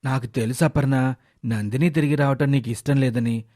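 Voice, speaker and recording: A man voicing a caring middle-aged father and husband, earnest and reasoning, in an animated moral story. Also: mid-range voice, normal speed, natural voice. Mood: pleading.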